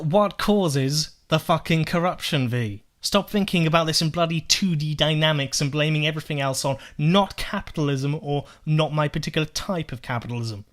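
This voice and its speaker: Young man, sarcastic, sweary, fairly high voice, argumentative commentary. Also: a bit bright. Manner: sarcastic, profane, rhetorical questions. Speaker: political video essayist. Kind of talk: argumentative commentary rebutting clips.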